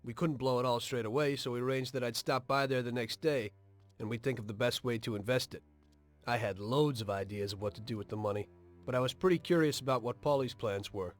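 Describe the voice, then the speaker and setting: A man's voice: medium pitch, brisk, soft background music, close to an everyday voice. A man playing an earnest, plain-spoken 1930s mobster, once a taxi driver, in a story-driven video game. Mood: reflective narration. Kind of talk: video game cutscene acting and story narration.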